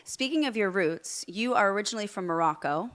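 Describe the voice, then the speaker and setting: A woman's voice: mid-range voice, lively intonation, brisk, fairly bright tone. A woman vocal coach interviewing a singer, asking questions and explaining her musical analysis with enthusiasm.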